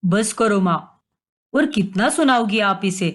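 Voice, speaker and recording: महिला द्वारा निभाया नाराज़, पत्नी का पक्ष लेता बेटा. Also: female voice, medium pitch, lively intonation, measured pace, pitched below the performer's own voice. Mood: reproachful. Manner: reproachful, defensive. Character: adult son, protective husband, indignant and gullible.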